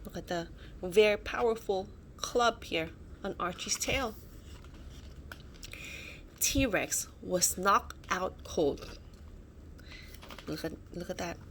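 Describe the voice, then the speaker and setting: A woman reading a children's picture book aloud, dramatic and expressive, adding little asides as storyteller. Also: medium pitch, takes it slowly, pauses often. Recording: faint background noise.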